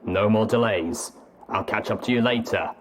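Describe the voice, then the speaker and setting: A man playing a gruff, stoic, weary soldier pony with a slow, measured delivery, for a story reading. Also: fairly low pitch, lightly acted. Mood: businesslike, firm. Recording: clearly noisy track with clicks.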